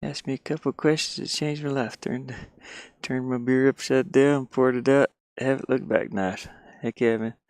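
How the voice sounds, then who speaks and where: A man's voice: medium pitch, steady tone, normal speed, a little mellow, no echo, studio quality. A man chatting casually and loosely while he works, mumbling asides to himself in an informal, rambling way. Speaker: streamer and hobby game developer. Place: inside a van.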